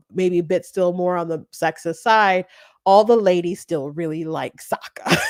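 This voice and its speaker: A woman hosting a commentary show, talking off the cuff in a casual, halting, rambling way. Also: medium pitch, no echo, clean studio sound. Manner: halting, rambling, often breaks into laughter.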